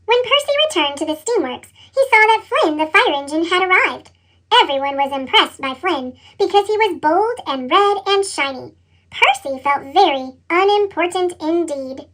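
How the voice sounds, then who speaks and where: A woman's voice: extremely high pitch, fairly bright tone. A woman reads a children's story expressively, her voice altered with a sped-up chipmunk effect. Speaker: storybook reader.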